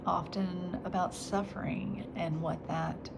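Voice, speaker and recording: A woman talking in a reflective faith vlog, soft and halting, her voice nasal after crying. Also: lowish voice, gravelly. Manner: soft and halting, slipping into whispers. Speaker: vlogger. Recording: steady background noise.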